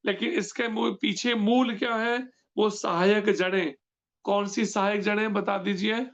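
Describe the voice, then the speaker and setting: A high-pitched man with a gravelly edge in his voice leads a devotional scripture lecture. He explains point by point and often pauses to ask his listeners questions. The recording sounds dull and lo-fi. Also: fairly even intonation, fairly bright tone. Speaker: scripture class teacher.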